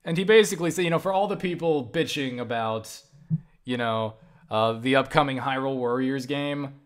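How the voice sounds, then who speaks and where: A man's voice: medium pitch, moderate pace. Rambling, profane young man. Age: young adult. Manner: rambling, profane, animated with frequent restarts. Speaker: video creator answering viewer questions. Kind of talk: casual vlog chat.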